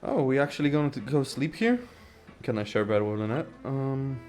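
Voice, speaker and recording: A man's voice: medium pitch, moderate pace, darkish tone. A man casually commenting while playing a story game, impatient and sarcastic, swearing and mumbling reactions. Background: game music.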